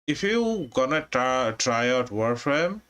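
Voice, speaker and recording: A man from Bangladesh, chatting casually on his live stream in a halting way, with many restarts. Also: medium pitch, sing-song, fairly bright tone. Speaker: livestreamer.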